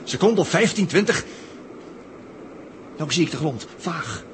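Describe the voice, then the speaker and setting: Excitable astronaut, noisy old recording. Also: male voice, a little high, measured pace. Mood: alarmed. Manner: urgent, exclamatory. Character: excitable male astronaut anxiously watching a crewmate crash. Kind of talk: 1960s Dutch radio drama acting. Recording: noisy early-1960s radio drama recording.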